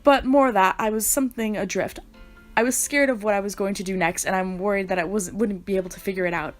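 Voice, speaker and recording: A woman reading the lines of a gentle, earnest young male prince in a story game, softly and sincerely, her voice a little hoarse from a sore throat. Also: medium pitch, fairly even intonation, quick pace, faint music behind, lightly acted.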